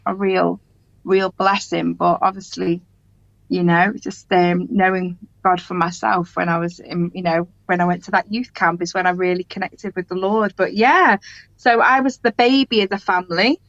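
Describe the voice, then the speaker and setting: A woman's voice: on the low side, fairly even intonation, moderate pace, creaky, fairly bright tone, no room sound, lo-fi sound. A middle-aged woman, chatting as a guest in a rambling, laughing way. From English Midlands. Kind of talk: casual conversation.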